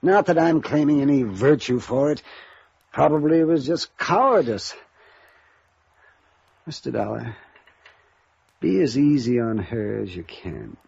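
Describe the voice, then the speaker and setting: Timid, husky older gentleman. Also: medium pitch, animated, a little slow, pauses often, dry recording, full character voice. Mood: resigned, regretful. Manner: formal, courtly, hesitant phrasing. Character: perturbed, conscience-stricken older gentleman, formal and timid. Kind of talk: 1950s radio drama acting. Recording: dull, lo-fi vintage radio recording.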